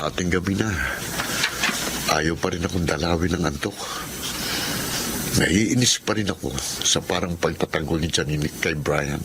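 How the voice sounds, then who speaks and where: An actor playing an arrogant, jealous well-off suitor with a Filipino accent, in a sneering radio drama style. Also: male voice, deep voice, measured pace, creaky, long pauses, loud music behind the voice. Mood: resentful brooding. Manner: haughty, sneering.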